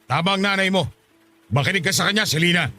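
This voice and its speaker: An indignant, protective father with a high-pitched male voice. He rattles out his lines quickly and angrily in radio drama style. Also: faint music behind. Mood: stern. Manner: indignant, forceful. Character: angry, protective father, hot-tempered. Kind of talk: Filipino radio drama acting.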